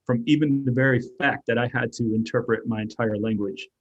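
A man's voice: mid-range voice, steady tone, a little fast, husky, lo-fi sound. A man who grew up in Germany, with German as his first language, answering interview questions as an author and artist in a rambling, reflective way full of fillers and restarts. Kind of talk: casual interview talk.

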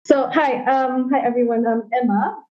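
A woman from Japan with an American accent, sharing her thoughts reflectively in a discussion forum, halting and restarting often. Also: high voice, fairly even intonation. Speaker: forum participant. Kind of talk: casual personal sharing in a discussion. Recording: dull lo-fi audio.

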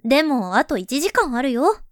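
A woman voicing a gentle, polite high-school girl who teases her friend mildly. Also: sing-song, normal speed, dry recording, clean studio sound. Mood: friendly.

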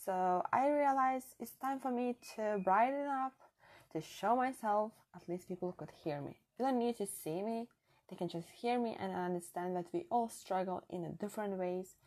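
A woman's voice: mid-range voice, moderate pace, a little mellow. European lady w/ foreign accent, solo podcast chat, earnest and thoughtful, no real mic. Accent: non-native European accent in English. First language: not English. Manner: earnest, chatty, reflective. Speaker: podcast host. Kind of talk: casual solo podcast monologue. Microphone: built-in device mic, no proper microphone.

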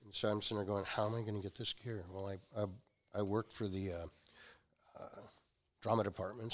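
A man in his sixties, a company founder, telling stories as a guest and acting out the quotes in them. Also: on the low side. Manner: animated storyteller acting out quotes. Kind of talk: casual storytelling.

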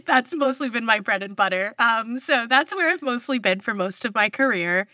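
A woman's voice: on the high side, animated, normal speed, sharp tone, no echo, studio quality. A woman in her thirties from New York, a journalist guest chatting casually in an interview, laughing often between her words. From New York, US East Coast. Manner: chatty, laughs often mid-sentence, many fillers. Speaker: public radio journalist, guest.

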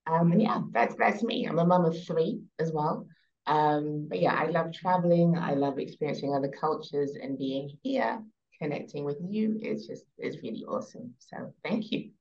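A woman with a London accent, in her thirties, chatting as a podcast guest in a relaxed way with many ums and soft trailing endings. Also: deep voice, moderate pace, vocal fry, highs cut off. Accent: British, London. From England. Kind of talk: casual podcast interview.

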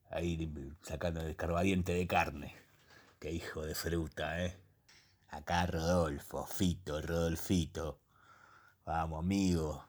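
A man playing a crude, jovial middle-aged old school buddy in a comic radio play, effusive and repetitive, with an Argentine accent. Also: low-pitched. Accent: Argentine, Rioplatense. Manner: repeats himself, effusive.

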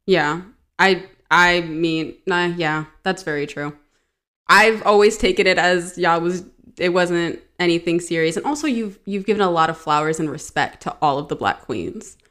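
A woman from Maryland, co-hosting a podcast in casual chat, jokey and quick to agree. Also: on the low side, a bit bright. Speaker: podcast co-host.

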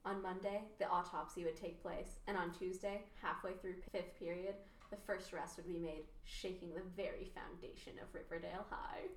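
A young adult woman narrating voiceover for a podcast radio play, smooth and measured. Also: lowish voice, fairly even intonation, distant mic, a little hiss or hum. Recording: echoey room, voice off-mic.

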